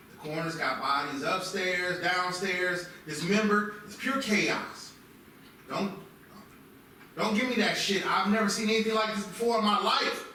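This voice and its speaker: A man's voice: high-pitched, bright, forceful tone. A man playing a big, blunt middle-aged police commander from Texas, urgent and emphatic, in a screenplay table read. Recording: echoey, distant, faint noise.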